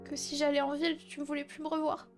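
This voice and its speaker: A woman's voice: high voice, monotone, fast talker, faint music behind, lightly acted. A woman playing a tender, eager twenty-seven-year-old daughter in improvised French game roleplay, speaking hesitantly. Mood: earnest, emotional. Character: young actress daughter, 27, sincere, tender, eager.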